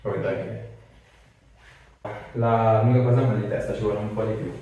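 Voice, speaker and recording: A very roomy, slightly distant recording of a man with a low voice. He works as a chiropractor, giving brief hands-on instructions and asking short questions in a casual clinical way. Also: steady tone, normal speed, mellow, dull audio. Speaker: chiropractor treating a patient. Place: small bare treatment room, indoors. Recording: roomy, distant mic, faint noise, clicks.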